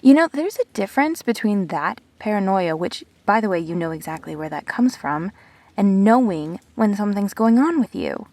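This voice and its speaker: A woman playing a perceptive, teasing, supportive friend in an intimate sci-fi audio drama, expressive and blunt. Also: mid-range voice, moderate pace, no room sound. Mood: hurt, indignant.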